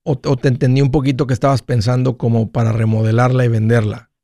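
A man's voice: medium pitch, flat delivery, quick pace, vocal fry, warm tone, no room sound, studio quality. A man hosting a call-in advice show, talking in a folksy, conversational way. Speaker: host of a personal finance call-in show.

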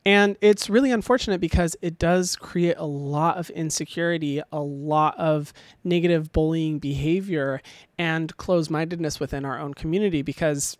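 Young man with a high voice. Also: normal speed, dry recording. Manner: expressive, animated, with laughs and filler ums. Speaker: podcast host. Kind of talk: casual opinion monologue.

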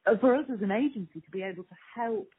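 A woman's voice: mid-range voice, fast, breathy edge. A woman answering interview questions as a strategy executive, earnest and emphatic, on a poor-quality muffled recording. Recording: poor, muffled phone-like lo-fi sound.

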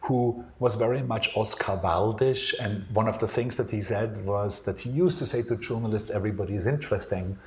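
Male designer interviewee, Austrian accent, native German speaker. Slightly low pitch, reflective and halting, given to anecdote. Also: a little hiss or hum.

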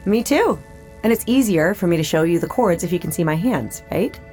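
An actress playing a friendly, upbeat AI hologram tour guide, speaking in a polished, personable way in an audio drama. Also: female voice, lowish voice, expressive, fairly quick, a little mellow, faint music behind, close to an everyday voice. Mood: friendly, playful. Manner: polished, personable presenter delivery. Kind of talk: scripted audio drama acting.

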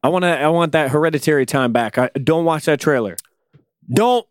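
A man's voice: a little high, brisk, clear, resonant tone, dry recording, clean studio sound. A man co-hosting a casual podcast, speaking thoughtfully with joking asides. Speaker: podcast co-host.

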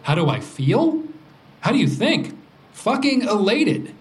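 Brash, high-voiced man. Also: big pitch swings, takes it slowly, full character voice. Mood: elated, defiant. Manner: sarcastic, provocative. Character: brash, gleeful, vindictive man in support group. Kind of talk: audio drama acting.

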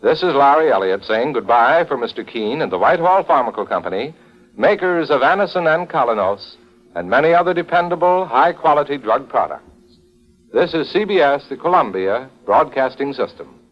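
Animated 1940s radio announcer, muffled recording. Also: male voice, medium pitch, moderate pace.

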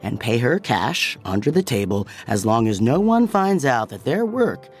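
A man's voice: medium pitch, big pitch swings, moderate pace, faint music behind. A man delivering scripted narration for an audio fiction story.

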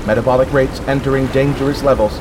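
An actor playing a spacesuit computer in an audio drama, coldly logical and polite, speaking in a measured, clinical, literal-minded way. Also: male voice, mid-range voice, steady tone, background noise, natural voice. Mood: calm, clinical warning.

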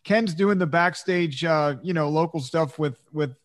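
A man's voice: on the high side, a little slow. A man co-hosting a casual talk show, making joking asides and plugs. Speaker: co-host. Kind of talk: casual podcast chat.

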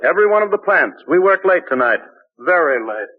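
A man's voice: a little high, big pitch swings, fairly quick, husky. An actor playing a stubborn, domineering, obsessive male chemist in an old-time radio drama, curt and imperious. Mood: stern, impatient. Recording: thin, phone-like old radio recording.

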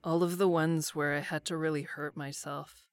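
A woman's voice: low voice, flat delivery, normal speed, no echo. A woman voice actor chatting casually as an interview guest, with informal, loosely built phrasing. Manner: informal, hesitant phrasing full of "like". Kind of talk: casual cast interview chat.

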